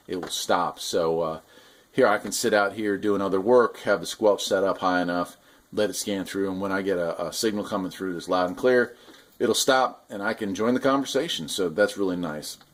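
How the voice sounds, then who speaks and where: A man giving a casual hands-on product demonstration, explaining things in an informal, conversational way as a hobbyist host. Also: low voice. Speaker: radio hobbyist host reviewing gear.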